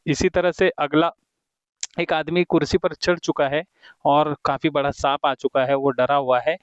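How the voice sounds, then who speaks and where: A man teaching English in Hindi who reads the English example sentences slowly and deliberately and keeps calling his viewers friends. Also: fairly high pitch, level tone, smooth, dry recording, muffled recording. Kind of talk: explaining a textbook lesson to viewers.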